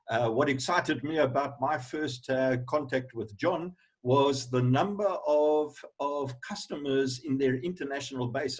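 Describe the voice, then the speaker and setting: A man's voice: medium pitch, husky. A man hosting an online event, introducing a guest speaker in a hesitant way, with many fillers. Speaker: host. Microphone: video call.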